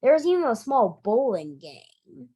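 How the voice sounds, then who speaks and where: A woman reads a picture book aloud for young children at an unhurried pace. Her pitch rises and falls freely, with emphatic, expressive phrasing and an occasional stumble over a word. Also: slightly rough. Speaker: library director reading a picture book aloud. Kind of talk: animated children's storytime read-aloud.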